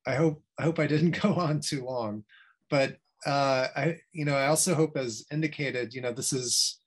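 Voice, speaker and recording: A man in his fifties giving an informal academic talk, speaking in a reflective, halting way with many pauses. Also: medium pitch, husky. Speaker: academic researcher, guest speaker. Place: small home study with bookshelves, video call. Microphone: computer mic on video call.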